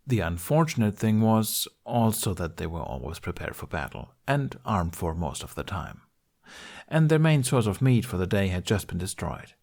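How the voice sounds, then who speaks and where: A man narrating an adventure story in a scripted, audiobook style. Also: lowish voice. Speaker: podfic narrator.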